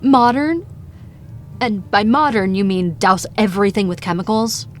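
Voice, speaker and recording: A woman's voice: mid-range voice, moderate pace, soft background music, lightly acted. An actress playing a blunt, wry young woman in a naturalistic audio drama, with casual, sweary delivery. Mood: salty, sarcastic.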